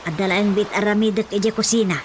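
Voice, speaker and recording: A practical, worried middle-aged wife in a radio drama, acted by a woman, chatting in a matter-of-fact way at a mid pitch. She speaks with an Ilocano accent. A music bed runs underneath. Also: fairly even intonation, fast, close to an everyday voice.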